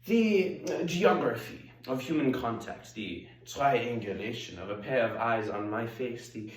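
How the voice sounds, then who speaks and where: A man's voice: medium pitch, distant mic, close to an everyday voice. A young man playing a flamboyant, wry East German woman cabaret singer in a theatrical, coy stage monologue. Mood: wistful, wry. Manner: theatrical, coy, drawn-out pauses. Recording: echoey room.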